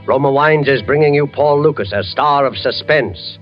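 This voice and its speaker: Male vintage radio announcer, mid-pitched, formal suspenseful scripted intro, muffled, clear music bed. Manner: solemn, measured, formal suspenseful delivery. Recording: muffled old radio broadcast, music behind.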